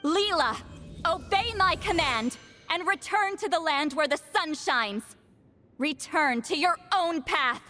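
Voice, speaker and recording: An actress playing an imperious, sharp-tongued shaman high priestess in a video game, with a theatrical, commanding manner. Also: animated, takes it slowly, faint music behind, heavily acted. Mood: solemn, commanding. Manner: solemn incanting, dry sarcastic scolding.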